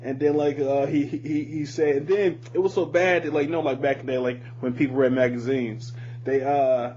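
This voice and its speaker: A man co-hosting a casual music podcast, speaking in a halting, repetitive way with frequent swearing. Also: medium pitch, steady tone, darkish tone. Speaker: podcast co-host. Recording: muffled lo-fi podcast audio with hum.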